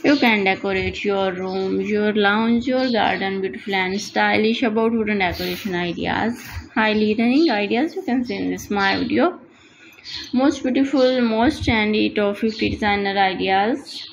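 Female channel host, slideshow voice-over, gravelly fry, simple non-native English, eager and repetitive, faint noise underneath. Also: mid-range voice, normal speed, highs cut off. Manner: repetitive, enthusiastic promotional phrasing.

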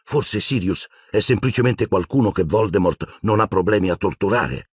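Man acting an intense, hot-headed teenage boy, husky. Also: fairly low pitch, moderate pace, no room sound, studio quality, pitched above the performer's own voice. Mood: angry. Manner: urgent, insistent, argumentative.

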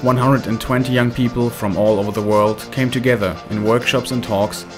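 A man narrating a documentary film in a measured, scripted way. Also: mid-range voice, fairly even intonation. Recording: voice-over with loud music behind.

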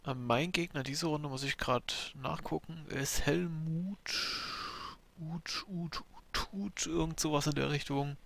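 A man with a bad cold commentating a replay of his own strategy game match, explaining his moves in a relaxed way with fillers. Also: on the high side, takes it slowly, creaky.

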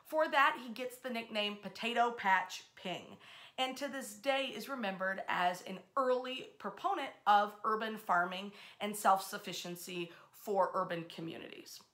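A woman in her thirties hosting informal history storytelling to camera, with emphatic, expressive delivery. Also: mid-range voice, normal speed. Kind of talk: informal storytelling to camera, part read aloud. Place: small bedroom, indoors.

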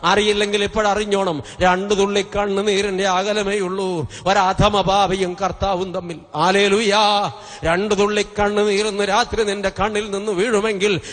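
Impassioned high-pitched male preacher, booming hall. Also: flat delivery, moderate pace, a bit bright. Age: middle-aged. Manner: impassioned, exhorting, emphatic with repeated phrases. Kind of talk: fervent revival sermon. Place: convention stage at a podium. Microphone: stand microphone close to mouth. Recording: reverberant hall sound system.

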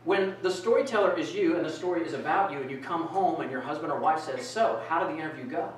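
Animated man speaking in an echoey room. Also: fairly high pitch, fast talker, far from the mic, a little hiss or hum. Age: middle-aged. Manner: animated, asks the audience questions. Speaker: keynote speaker. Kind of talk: interactive keynote talk. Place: conference room with projector screen, indoors. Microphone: far camera, no mic.

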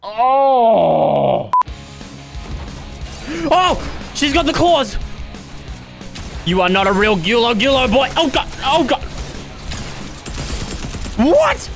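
A young man commentating his own gameplay, loud and dramatic, with squealing yelps and groans, his voice starting to go hoarse. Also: extremely high pitch, big pitch swings, very slow, long pauses, soft background music. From Australia. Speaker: gaming YouTuber.

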